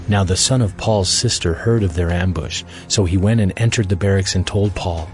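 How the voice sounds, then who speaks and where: A man's voice: low-pitched, moderate pace, soft background music. A man narrating scripture as a scripted audio Bible reading.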